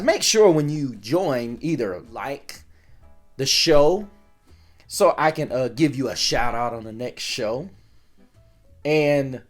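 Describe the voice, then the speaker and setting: Man hosting a sports show with a tired voice. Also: mid-range voice. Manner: rambling, conversational, with frequent restarts and fillers. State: voice weak from recent illness. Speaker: sports channel host. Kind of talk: casual sports commentary to viewers.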